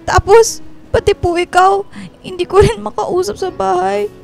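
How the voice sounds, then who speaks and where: A woman's voice: very high voice, level tone, unhurried, vocal fry, darkish tone, exaggerated voice. A woman playing a timid, hurt young girl with a Filipino accent in a radio drama, hesitant and pleading. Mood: tearful pleading. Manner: hesitant, meek and polite, pleading. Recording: music bed behind the voice.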